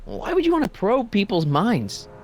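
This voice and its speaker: A man's voice: sits high, huge pitch swings, normal speed, faint music behind, heavily acted. An actor playing a skeptical, casual teenage boy who keeps asking incredulous questions in a video game. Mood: curious. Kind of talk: animated video game dialogue.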